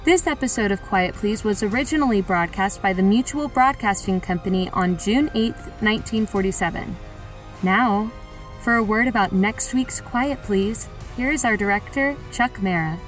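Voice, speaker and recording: A woman's voice: mid-range voice, normal speed, faint music behind, rough recording. A woman hosting a radio drama, reading scripted cast credits and a sign-off.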